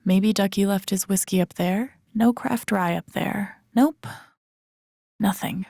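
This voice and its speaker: A young American woman as an introspective video game heroine. Her voice sits at a middling pitch with a gravelly fry, recorded very dry and close. She talks to herself in naturalistic, wry murmured asides. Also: dark tone, dry recording. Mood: curious. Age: young adult. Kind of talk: naturalistic game inner-monologue acting.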